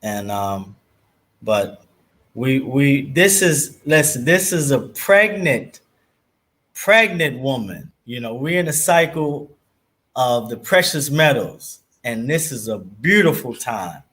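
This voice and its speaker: A man's voice: mid-range voice, expressive, slow, fairly bright tone. A man from Baltimore with a Baltimore accent, a guest on a casual livestream who talks loosely and keeps saying yes. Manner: affirming, loose, frequent "yes" interjections.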